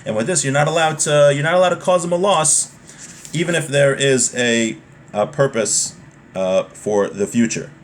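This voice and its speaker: A man's voice: medium pitch, moderate pace, slight background noise. A man giving an informal religious law lesson, reading from a text and explaining it conversationally with repeated points and everyday examples.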